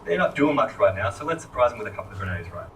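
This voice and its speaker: A man's voice: on the low side, rapid-fire, recorded from a distance, slight background noise. A man casually talking while playing a game demo. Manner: relaxed, chatty. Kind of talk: live gameplay commentary.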